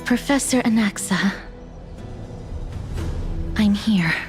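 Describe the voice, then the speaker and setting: A woman's voice: medium pitch, slow, darkish tone, lightly acted. A polite young woman from a video game, played by an actress, speaks in a mid-range voice. She sounds formal, earnest and composed, with music prominent behind her. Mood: concerned. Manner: formal, courteous. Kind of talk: dramatic video game voice acting.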